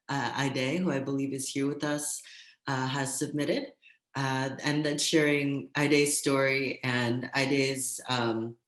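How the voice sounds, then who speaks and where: A woman's voice: low voice, echoey room. A woman facilitating a live community event, speaking enthusiastically with many ums. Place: street corner outdoors.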